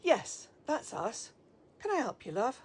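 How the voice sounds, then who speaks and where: A woman's voice: on the high side, big pitch swings, unhurried, slightly rough, heavily acted. A woman acting a breezy, motherly office receptionist in an audio drama, with a cosy sing-song manner. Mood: helpful, breezy. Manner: sing-song, cosy, calls people love.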